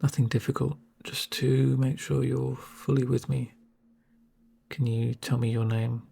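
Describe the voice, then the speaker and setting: Calm male corporate medic played by a man for ASMR roleplay: hushed, very breathy, creaky, reassuring, drily sardonic. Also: mid-range voice, exaggerated voice. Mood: soothing, calm. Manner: unhurried, soothing, deadpan asides. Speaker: medic caring for a patient. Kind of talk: soft-spoken ASMR roleplay.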